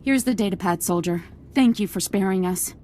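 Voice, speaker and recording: A woman's voice: mid-range voice, fairly quick, a little mellow, a little hiss or hum, lightly acted. A woman playing a frightened civilian who pleads earnestly for her group in a video game cutscene. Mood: relieved.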